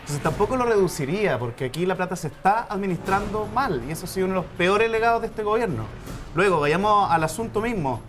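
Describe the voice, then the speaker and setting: Fast-talking combative Chilean man. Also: sits high, fairly bright tone. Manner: combative, sarcastic, rapid rebuttals. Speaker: panelist. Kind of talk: TV panel debate.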